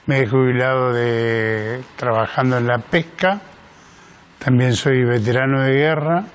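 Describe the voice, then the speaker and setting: A man's voice: mid-range voice, fairly even intonation, a little slow, smooth. An older man with an Argentine accent introducing himself as a participant on a panel. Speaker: guest participant, retired fisherman and veteran.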